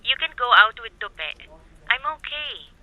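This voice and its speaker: A woman's voice: fairly high pitch, expressive, a little slow, no room sound, muffled recording. A woman acting a curt young girlfriend in a Filipino romance audio drama, with a Filipino accent and clipped replies. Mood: tired, curt.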